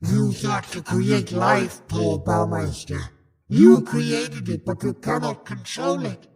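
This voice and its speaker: A man voicing a menacing amoeba creature in an old-time radio horror play, slow and commanding. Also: high-pitched, big pitch swings, gravelly, a crowd in the background, heavily acted. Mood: gloating menace.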